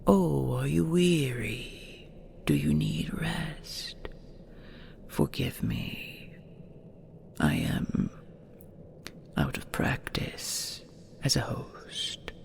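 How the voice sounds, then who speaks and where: An ancient she-dragon, played by a woman, murmurs in a very slow, low whisper full of heavy creak. She is tender and possessive, coaxing her listener with hypnotic, old-fashioned formality in an intimate ASMR roleplay. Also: very animated, darkish tone, exaggerated voice. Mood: tender, soothing.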